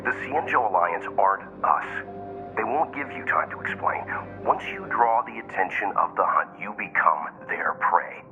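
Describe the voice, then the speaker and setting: A man playing a stern, measured older authority in a video game, speaking gravely and deliberately. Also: fairly low pitch, animated, pushed and bright, lo-fi sound, soft background music. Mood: stern warning. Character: stern, measured, deep-voiced grown man. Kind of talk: dramatic video game voice acting.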